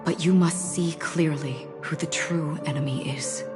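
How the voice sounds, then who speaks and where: Somber woman warrior, low voice, solemn, hesitant, calm mentor, video game drama, music behind. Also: a little slow. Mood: grave, resolute. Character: somber, guilt-burdened woman swordfighter, calm and grave mentor.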